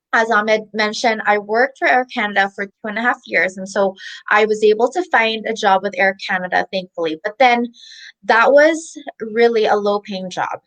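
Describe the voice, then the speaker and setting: Chatty woman with a higher voice. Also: a bit bright, low-quality recording. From Philippines. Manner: chatty, laughs often, many restarts. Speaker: interview guest. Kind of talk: casual interview.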